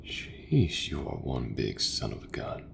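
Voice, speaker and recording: A man playing an easygoing, folksy sci-fi mechanic in a soft, breathy, reassuring ASMR roleplay voice. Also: extremely low pitch, wildly swooping pitch, background noise, heavily acted. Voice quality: gravelly, breathy, half-whispered. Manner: slow, unhurried, reassuring, colloquial. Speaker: voice actor.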